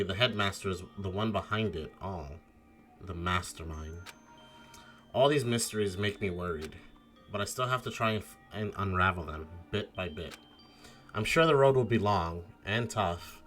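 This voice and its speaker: A man's voice: sits low, fairly bright tone. A man streaming a game, switching between first-person narration read aloud and casual banter.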